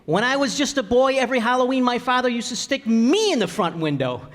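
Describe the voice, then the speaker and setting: A woman's voice: a little high, sing-song, a little fast. A woman playing a bumbling, playful working-class father who talks in hesitant, folksy, ungrammatical speech in a 1940s-style radio sitcom. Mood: amused nostalgia. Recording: echoey hall stage recording.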